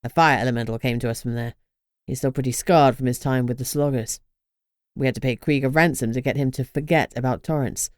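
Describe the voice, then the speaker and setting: Woman doing a gruff male vampire, stern, very low and deep, dry close sound, storybook reading. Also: steady tone, a little fast, no echo.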